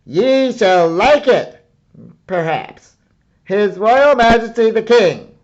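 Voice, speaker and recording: Storybook royal herald played by a male reader: loud, high, pompous proclamation, slightly dull recording. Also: lively intonation, slow pace. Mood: pompous, grand. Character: grand royal herald proclaiming the king's sign.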